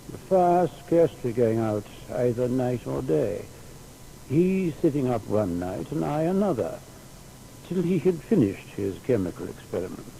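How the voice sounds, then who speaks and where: A man playing a diligent seventeenth-century secretary, giving formal, measured, precise testimony in a dramatised audio biography. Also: medium pitch, vocal fry, rough recording. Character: Newton's secretary, diligent, precise period assistant recalling his master. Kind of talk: dramatised first-person historical testimony. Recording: steady faint background noise.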